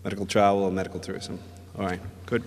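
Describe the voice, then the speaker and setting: A man from the Dominican Republic giving a conference presentation, speaking in a measured, hesitant way with many filler sounds. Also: sits low, little pitch movement, vocal fry, slight background noise. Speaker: invited conference speaker, emergency physician. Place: hotel ballroom at a summit. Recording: long reverb of a large ballroom.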